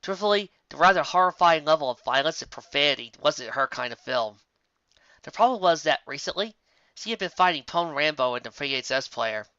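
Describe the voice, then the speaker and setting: Animated, bright-voiced story reader. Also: medium pitch, brisk, dry recording. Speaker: amateur fan fiction reader. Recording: slightly dull, close dry recording.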